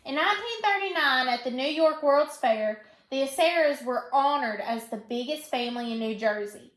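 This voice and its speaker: A woman teacher reading a storybook aloud in an instructional way, pausing to put questions to her pupil and repeat answers. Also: sits high. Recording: echoey room.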